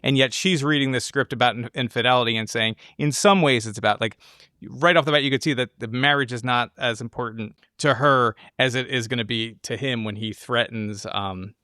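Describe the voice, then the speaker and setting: A man's voice: medium pitch, brisk, bright, forceful tone, no echo, studio quality. A man co-hosting a podcast, analyzing film in an engaged, informal, conversational way. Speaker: podcast co-host. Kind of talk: casual film discussion.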